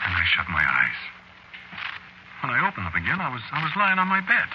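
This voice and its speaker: A man's voice: mid-range voice, wildly swooping pitch, fairly quick. A man acting in a vintage radio drama as a hard-headed, rattled fellow narrating his nightmare, hesitant and stammering in colloquial speech. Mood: bewildered, uneasy. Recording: noisy dull vintage radio recording.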